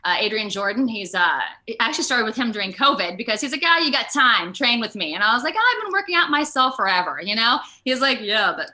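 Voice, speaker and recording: American woman, super bright punchy voice, rambly and animated, jokes at her own expense, interview chat. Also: on the high side, fast talker, hardly any pauses. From Ohio, United States. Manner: animated, rambling, self-deprecating. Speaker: actor being interviewed. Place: remote video call.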